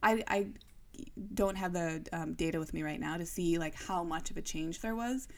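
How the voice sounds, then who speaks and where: A woman's voice: on the low side, moderate pace. A young adult woman co-hosting a podcast, explaining ideas in a casual, chatty way with lots of fillers and restarts. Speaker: podcast co-host. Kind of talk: casual chat.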